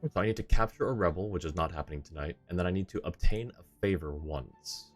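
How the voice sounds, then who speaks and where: A man with a very low voice chats casually as he streams a game. Faint music from the game plays behind him, and his talk is aimed at the friends playing along. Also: fast talker. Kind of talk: casual live gameplay chat with friends.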